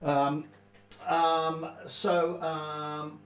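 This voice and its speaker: A man hosting an informal livestream, talking in a relaxed, rambling way with lots of fillers. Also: fairly high pitch, very slow, echoey room. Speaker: band member hosting a livestream.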